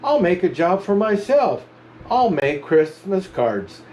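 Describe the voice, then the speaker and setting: Man doing a little elf boy, eager, hopeful, storybook voice, lively pitch. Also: slow, slight background noise, heavily acted.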